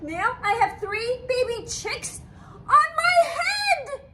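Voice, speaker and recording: A woman voicing an anxious, excitable elephant in an animated children's picture book read-aloud, exclaiming dramatically. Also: very high voice, slow, heavy background noise, exaggerated voice. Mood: exasperated. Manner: dramatic, exclaiming, swinging from fretful to outraged.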